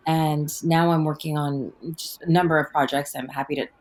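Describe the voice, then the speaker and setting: A woman with a low voice, talking in an interview as a guest. She is middle-aged, born in Afghanistan and raised in Canada, and she speaks reflectively, with frequent "um"s and self-corrections as she works through her thoughts. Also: steady tone, moderate pace. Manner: reflective, many fillers and restarts. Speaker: guest, activist and author. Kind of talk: conversational interview.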